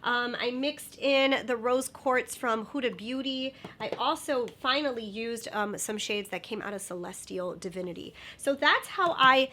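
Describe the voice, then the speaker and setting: A woman's voice: on the high side, measured pace, few pauses, pushed and bright. A young woman with a nasal, stuffed-up voice from a sinus infection, chatting bubbly through a makeup review with lots of fillers. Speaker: beauty vlogger.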